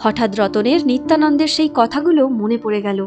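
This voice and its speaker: A woman with a fairly high voice narrates a scripted moral story, telling it as a storyteller would. Music plays clearly behind her. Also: brisk, lo-fi sound.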